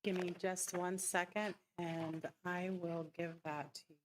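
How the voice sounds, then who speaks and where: A woman's voice: on the low side, flat delivery, unhurried. A woman city finance official giving a matter-of-fact, explanatory budget presentation at a council meeting. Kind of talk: formal public budget presentation. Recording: noisy public meeting recording with clicks.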